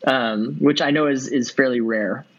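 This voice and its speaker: A man in his thirties from Southern California, answering interview questions in a relaxed way, with plenty of ums and easy laughs. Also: medium pitch, unhurried, muffled recording. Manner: relaxed, many ums and uhs, laughs easily. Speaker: podcast guest, entrepreneur.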